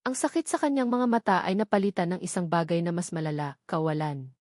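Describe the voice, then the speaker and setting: A woman's voice: fairly low pitch, fast, a little mellow, dry recording, studio quality. A woman reading a scripted romance story as a dramatic narrator.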